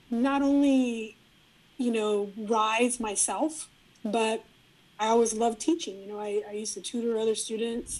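Middle-aged woman, spanish first language, fairly high voice, chatty and thoughtful, lots of restarts. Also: dark tone, poor audio. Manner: reflective, frequent restarts and fillers. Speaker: guest. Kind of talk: casual interview conversation.

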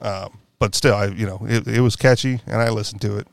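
A man's voice: lowish voice, steady tone, brisk, gravelly, no echo, clean studio sound. A man hosting a podcast in casual political chat, rambling and correcting himself with a wry tone. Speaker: podcast host.

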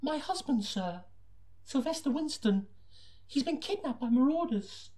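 A sad young woman from a noir detective tale, put on by a man, pitched very high. The falsetto is strained and plaintive, far above an ordinary speaking voice. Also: lively intonation, measured pace, warm tone. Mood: pleading. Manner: strained falsetto attempt at a female voice.

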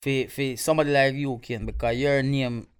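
A man's voice: mid-range voice, fairly even intonation, normal speed, smooth. A podcast co-host with a voice in the middle range for men. He hands out advice in loose, casual talk and trips over repeated words. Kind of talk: casual chat.

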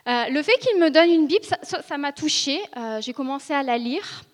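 A woman's voice: high-pitched, expressive. A woman in her thirties from northern France, giving an earnest, hesitant personal testimony to a church gathering. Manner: earnest, hesitant, with frequent pauses and restarts. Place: church ministry school meeting room. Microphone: seated speaker, filmed for video. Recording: reverberant hall.

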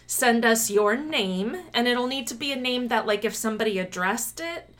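A woman's voice: fairly high pitch, moderate pace, a bit bright. A woman co-hosting a podcast in casual, chatty conversation, laughing often and rambling a little. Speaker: podcast co-host.

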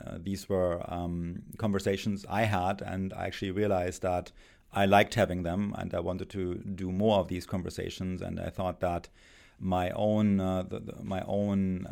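A middle-aged man with a German accent, a philosophy professor speaking as a guest, halting and thoughtful with many pauses and restarts. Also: low voice, creaky, a little mellow. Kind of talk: thoughtful academic conversation.

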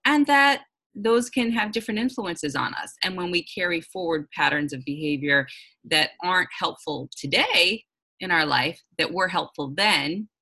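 Low-voiced woman, bright and gravelly. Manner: thoughtful, searching, with many fillers. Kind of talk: reflective conversation.

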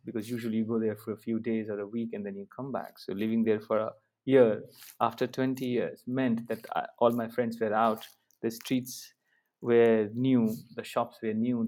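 A man's voice: fairly low pitch, a little mellow. A man with an Indian accent, an academic guest answering in an interview, with many fillers and restarts.

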